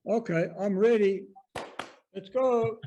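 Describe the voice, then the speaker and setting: A man's voice: sits high, takes it slowly, dark tone, muffled recording, slight background noise. An older man with a South African accent, giving a chatty, anecdotal talk on a video call. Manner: chatty, anecdotal, self-deprecating. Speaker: presenter.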